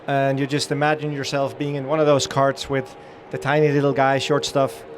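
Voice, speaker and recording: A man's voice: mid-range voice, monotone, normal speed, background noise. A middle-aged man from the Netherlands with a Dutch accent, giving a chatty, upbeat talk to a live audience on a trade-show floor, with many fillers and restarts.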